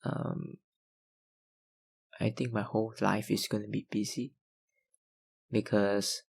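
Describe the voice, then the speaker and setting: A young man, an ASMR YouTuber, chatting casually through viewer questions, hesitant with many fillers and soft laughs. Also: fairly low pitch, little pitch movement, slow, vocal fry, slightly rough. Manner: hesitant, lots of ums and likes, soft laughs. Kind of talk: casual Q&A chat.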